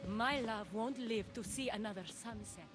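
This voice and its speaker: An actress playing a sorrowful, dignified noblewoman in a game cutscene, grave and measured, with an anxious edge. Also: a little high, lightly acted. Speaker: video game character actor. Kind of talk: dramatic game cutscene acting. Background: background music.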